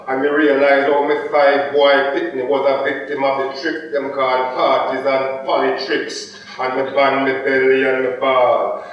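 A man's voice: medium pitch, lightly acted. A man reciting performance poetry as an anguished, incredulous poor Jamaican, rhythmic and dramatic, in a Jamaican accent. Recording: very echoey hall, distant muffled mic.

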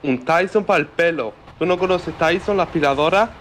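Joking man, fairly high voice. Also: fairly quick, a bit bright, slight background noise. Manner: joking, teasing, Spanish mixed with German. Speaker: caller on voice chat. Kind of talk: casual chat.

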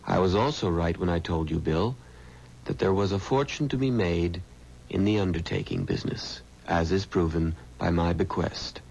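An actor playing a man slowly reading out a letter in a 1970s radio mystery, with a husky, gravelly voice. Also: sits low, very animated, a little mellow, slight background noise, exaggerated voice. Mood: solemn, deliberate. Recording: dull old radio broadcast.

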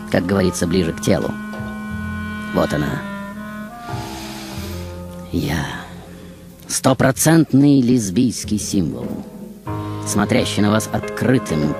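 A man performing a glamorous, vain film diva on radio, in a very low voice. The delivery is coquettish and theatrical with dramatic pauses, over clear background music. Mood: proud, provocative. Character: glamorous, vain, seductive female film star confessing her life. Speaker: radio show host. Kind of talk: theatrical radio monologue in character. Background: music behind the voice.

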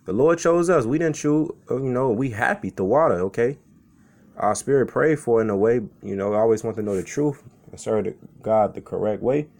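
A man's voice: medium pitch, a little fast, darkish tone. A man from Chicago giving an informal sermon as a street preacher, reading scripture and talking it over in a loose, conversational way, often tagging lines with "man" and "okay".

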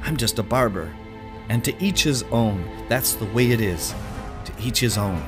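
Low-voiced man reading a dramatic story. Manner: deliberate pauses, emotive emphasis. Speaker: short story reader. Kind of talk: dramatic first-person audiobook narration.

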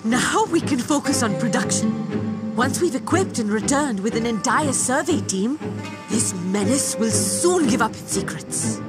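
An earnest, gentle elephant-woman from a fantasy video game. The voice is high and very animated in pitch, emotive in delivery, with strong music behind it. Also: measured pace. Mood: relieved, earnest. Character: earnest, gentle female elephant-like humanoid alchemist. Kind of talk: dramatic video game voice acting.

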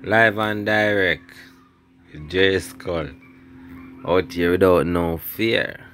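A middle-aged Jamaican man with a fairly low voice takes his time as he chats in casual patois, often saying a phrase again. He sounds like he is filming on a phone out on a veranda, with faint background noise. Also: steady tone, very slow, fairly bright tone. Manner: repeats phrases, speaks in patois. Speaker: family vlogger. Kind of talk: casual home vlog chat. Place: outdoors on a wooden veranda at home. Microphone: handheld phone.

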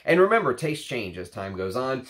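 A man's voice: mid-range voice, sing-song, moderate pace, fairly bright tone. A man in his thirties, congested from a cold and a little nasal, talking casually to camera in a rambling way with lots of fillers. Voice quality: slightly nasal. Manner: chatty, rambling, frequent fillers. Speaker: perfume reviewer. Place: home room with shelves of perfume bottles.

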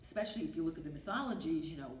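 Older woman, strong Brooklyn accent, rhythmic poetry reader, lowish voice, roomy hall sound with faint noise. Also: expressive, very fast. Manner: emphatic, rhythmic, repeating refrains. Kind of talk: reading a poem aloud. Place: library meeting room. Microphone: podium mic from a far camera. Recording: echoey room with faint noise.

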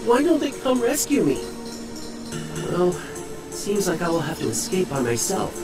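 A woman's voice: on the low side, very animated, moderate pace, echoey room. A woman playing a captive child who frets alone and plots an escape in a video game cutscene. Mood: worried. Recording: distant voice under music.

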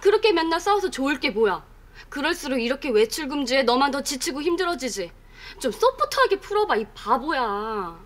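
High-voiced, blunt young friend. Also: female voice, very animated, fairly quick, fairly bright tone. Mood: coaxing. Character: sensible, blunt young friend giving advice. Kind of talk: sitcom acting.

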